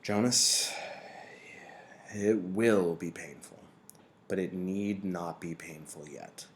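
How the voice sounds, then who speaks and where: A man voicing a weary, gentle old mentor. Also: sits low, slow, a little hiss or hum. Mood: grave.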